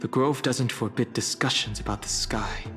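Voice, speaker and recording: An actor playing a calm, reserved young man in a fantasy video game, speaking in a measured, thoughtful way. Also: mid-range voice, natural voice. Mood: pensive, measured. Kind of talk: scripted video game voice acting. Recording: long reverb, music behind. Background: game music.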